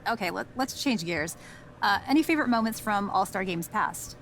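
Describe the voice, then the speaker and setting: A woman playing a polite, probing sports reporter in a video game, putting measured questions at a press session. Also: medium pitch, lively intonation, a bit bright, slight background noise. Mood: polite, curious.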